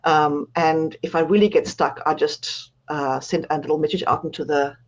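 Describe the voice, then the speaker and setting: A woman's voice: deep voice, steady tone, normal speed, dull audio. A woman with a South African accent, speaking as an author guest on a radio interview, chatty and self-deprecating, laughing often.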